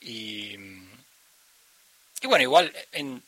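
Bright-voiced Argentine man, reflective and halting. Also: mid-range voice, lively intonation, slightly rough, dry recording, rough recording. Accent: Argentine Spanish. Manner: reflective, searching for words, frequent hesitations. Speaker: musician guest. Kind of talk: interview conversation.

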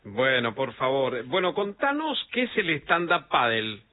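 A man's voice: medium pitch, wildly swooping pitch, a little slow. A man with an Argentine Spanish accent hosting a radio interview in a friendly, conversational way. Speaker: radio host. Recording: narrow phone-like radio sound.

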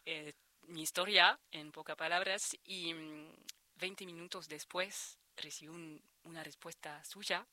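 A woman's voice: low-pitched, fairly even intonation, a little slow, bright, forceful tone, no echo. A woman in her thirties from France, speaking Spanish with a French accent as an interview guest, hesitant with frequent restarts. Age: 30s. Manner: hesitant, many restarts and repeated words. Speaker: interviewed researcher. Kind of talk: conversational interview.

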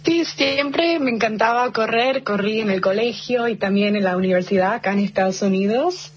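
Husky, slightly breathy young woman heard over a dull, lo-fi phone line. She speaks Argentine Spanish with an American tinge, sounding cheerful and halting and restarting her phrases as she talks. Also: a little high. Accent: Argentine Spanish with an American English tinge. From Argentina, raised in the United States. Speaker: athlete guest. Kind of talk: casual phone interview.

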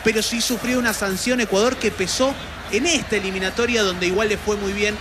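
A man giving measured, analytical football commentary on the radio. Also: high-pitched, fairly even intonation, noisy background.

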